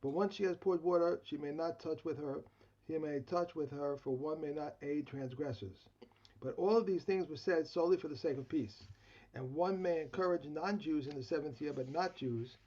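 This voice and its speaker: Hoarse man reading aloud over a dull line. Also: a little high, moderate pace, warm tone. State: losing his voice. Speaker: study partner. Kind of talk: reading text aloud in a study session. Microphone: video call.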